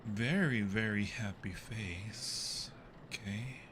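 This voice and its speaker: A man's voice: fairly low pitch, slow. A man hosting a livestream, giving casual, jokey commentary as he plays a horror game. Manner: jokey, exclaims and yelps when startled. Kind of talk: casual live gameplay commentary. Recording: clear background noise on a livestream.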